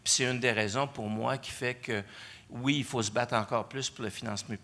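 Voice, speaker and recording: A man's voice: medium pitch, fairly even intonation, a little fast, pushed and bright. A middle-aged man speaking on a panel, hesitant with many restarts. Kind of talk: panel discussion.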